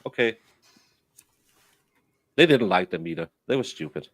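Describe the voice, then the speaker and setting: A man's voice: low-pitched, expressive, a little slow, dry recording. A man from Denmark, chatting on a casual stream and telling rambling stories full of restarts and fillers. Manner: rambling storyteller with many restarts.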